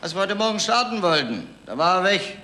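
A man's voice: high-pitched, very animated, fairly quick, sharp tone, full character voice. An actor playing a complaining, quarrelsome male tourist in a radio crime play. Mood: chatty, sceptical. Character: indignant male tourist whose bus vanished, bickering. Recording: echoey, noisy 1970s radio recording.

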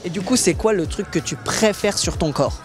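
A man's voice: high-pitched, animated, moderate pace, music in the background. A man in his twenties doing a casual street interview on a city street, chatty and prompting with follow-up questions into a handheld mic.

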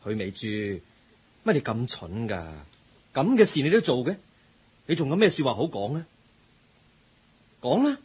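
An actor playing a calm, polite school counselor with a husky voice in a radio drama. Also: male voice, medium pitch. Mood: reproachful. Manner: measured, polite. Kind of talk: 1980s radio drama acting.

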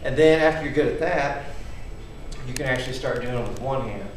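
A man's voice: mid-range voice, fairly quick, a little hiss or hum. A man in his thirties, casually rambling as he demonstrates homemade gym gear and tells a story, often checking in with "okay?". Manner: rambling, chatty, keeps asking "okay?". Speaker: home fitness vlogger. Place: large wood-paneled garage at night. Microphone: camera mic from across the room. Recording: echoey garage, voice from far off.

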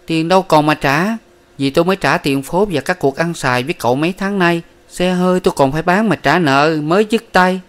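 Male reader voices cold, scornful young mistress; raised pitch, louder, scolding. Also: a little fast, close to an everyday voice. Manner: scolding, haughty. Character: sharp-tongued young woman, cold and scornful.

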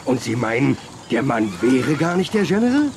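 An actor playing a grumbling, forgetful army colonel, hesitant and exclaiming, in a German children's audio drama. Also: male voice, medium pitch, sing-song, normal speed, creaky, noisy background. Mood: flustered.

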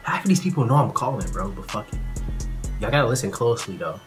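A man hosts a casual video for his viewers. He talks in loose street slang at a medium pitch, with music clearly playing behind him. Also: big pitch swings, quick pace. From Chicago, United States. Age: 20s. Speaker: YouTube prankster and host. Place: small plain room indoors.

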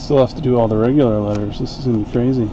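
A man hosting a live card break, calling out each card in an unhurried, chatty way. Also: mid-range voice, steady tone, muffled recording, background noise. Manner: unhurried, chatty play-by-play while opening packs. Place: home card-break room, indoors.

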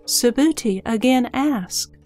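Female narrator, higher-pitched, scripted scripture narration over background music. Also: slow, darkish tone.

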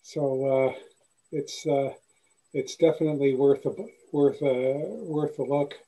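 An older man chatting on a video call from a room full of bookshelves, halting and repeating words. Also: medium pitch, level tone, mellow, low-quality recording. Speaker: astronomy club member. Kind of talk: casual video call chat.

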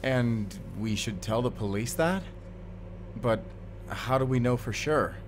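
A man's voice: mid-range voice, slow, music in the background, natural voice. A man playing a distraught but defiant, protective character in an audio drama, emotional and urgent. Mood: bewildered, indignant. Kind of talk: dramatic audio roleplay acting.

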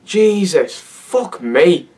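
A hoarse teenage young man with a Cumbrian accent, chatting and laughing in a casual reaction vlog from his bedroom. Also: high voice, expressive, extremely slow, a little mellow, distant mic. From Cumbria, England. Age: teens. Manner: laughing, swearing, incredulous. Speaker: YouTuber. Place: bedroom, indoors. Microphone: webcam at desk.

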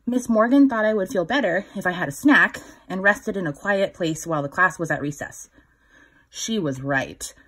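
A woman reading a picture book aloud to young children in a gentle, instructive way, with a slightly husky voice. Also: fairly low pitch, lively intonation, poor audio. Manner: gentle, instructive, pausing to put questions to the child listener. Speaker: children's story reader.

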